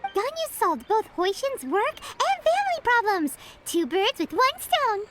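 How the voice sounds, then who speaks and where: A woman voicing a tiny, excitable, childlike fairy companion in an anime video game. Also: a little slow, background noise, full character voice. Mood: pleased. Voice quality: squeaky, childlike. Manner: excitable, refers to self in third person. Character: tiny floating fairy companion, childlike, cheerful and greedy for food.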